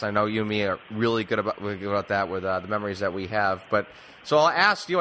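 Bright, forceful man hosting sports talk. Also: on the low side, slight background noise, rough recording. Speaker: sports podcast host. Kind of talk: casual sports talk interview.

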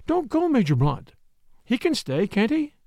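Man voicing an anguished young lady, high and intense. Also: huge pitch swings, a little slow, gravelly, dry recording, clean studio sound, heavily acted. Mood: nervous. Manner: intense, confessional, clipped short phrases. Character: proud, anguished young upper-class woman in mystery novel.

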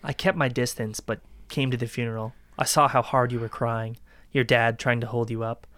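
A man acting a cold, gloating killer who calmly taunts his ex in a dark audio drama. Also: medium pitch, close to an everyday voice. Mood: smug, menacing. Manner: casual, calmly taunting, unhurried menace.

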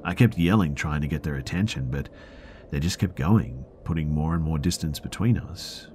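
A man with a deep voice narrating first-person scary stories for a podcast, often dropping to a hush. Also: expressive, normal speed, a little hiss or hum. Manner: hushed, slipping into whispers at tense moments.

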